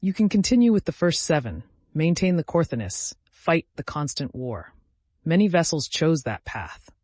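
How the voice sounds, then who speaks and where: A man's voice: on the high side, sing-song, normal speed, a little mellow, dry recording. A man voicing an exhausted, patient scholar in a fantasy story, giving grave advice.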